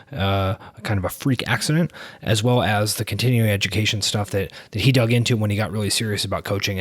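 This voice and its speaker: A man hosting a podcast, chatting in a relaxed way and often restarting his sentences. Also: lowish voice. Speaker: podcast host. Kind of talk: casual interview conversation.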